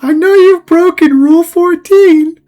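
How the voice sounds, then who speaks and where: Girl doing a high little spirit mascot for a cartoon, bubbly but hesitant, bit scoldy, music behind. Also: measured pace, mellow. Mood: knowing, scolding. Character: bubbly, ethereal spirit animal mascot, watchful and gently scolding. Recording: music under the voice.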